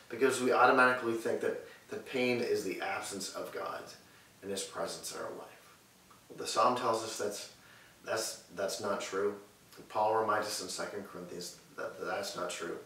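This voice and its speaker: A man in his thirties preaching and praying earnestly from a sofa in a living room, measured and repetitive in his phrasing. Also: lowish voice, fairly bright tone, distant mic. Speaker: pastor. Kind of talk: sermon and prayer. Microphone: far camera mic. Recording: echoey room audio with faint hiss.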